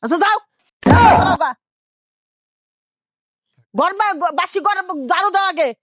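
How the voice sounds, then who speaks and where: A woman's voice: very high voice, animated, unhurried, fairly bright tone, no room sound, studio quality, exaggerated voice. A woman playing a scolding, old-fashioned Bangladeshi village mother-in-law in a domestic drama, haranguing with repeated questions in a rural Bangladeshi accent. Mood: grumbling, indignant. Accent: rural Bangladeshi Bengali. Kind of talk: improvised-sounding domestic drama acting.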